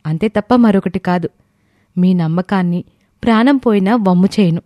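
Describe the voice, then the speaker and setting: A woman's voice: medium pitch, moderate pace, warm tone, dry recording, close to an everyday voice. A woman playing a composed, earnest young woman in a family story. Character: composed young wife and daughter in a family tale. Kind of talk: acted story dialogue.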